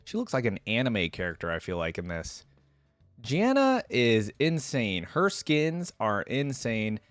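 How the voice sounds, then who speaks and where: A man's voice: medium pitch, sing-song, a little slow, a bit bright, no echo, faint music behind. A man hosting a casual reaction video, talking to viewers in an informal, slangy, exclamatory way.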